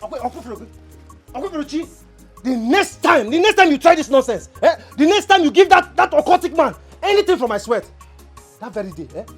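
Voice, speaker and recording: An actress playing a furious woman who shouts and scolds her uncle with threats. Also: sits high, very animated, brisk, long pauses, soft background music.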